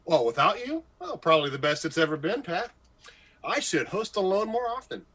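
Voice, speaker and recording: A man playing an excitable, jealous, insecure morning radio host in a radio comedy-drama, stammering, gushing and evasive. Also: high-pitched, moderate pace, full character voice. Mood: nervous, fishing.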